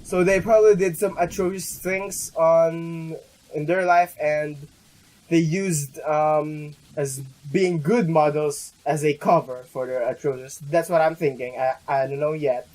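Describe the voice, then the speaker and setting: Higher-pitched young man, halting gamer commentary. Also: fairly even intonation, a little mellow, poor audio. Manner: halting, stumbling, rambling, mild swearing. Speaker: let's play gamer. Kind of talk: casual game commentary, reading on-screen text aloud. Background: game music.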